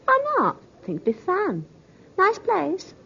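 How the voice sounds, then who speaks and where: An actress playing a breezy, teasing, worldly mistress in a 1950s radio drama, flippant and arch, with a husky voice. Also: female voice, very high-pitched, wildly swooping pitch, takes it slowly, fairly bright tone, a little hiss or hum, full character voice. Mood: breezy, amused. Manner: flippant, arch, swooping delivery. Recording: dull old 1950s radio recording.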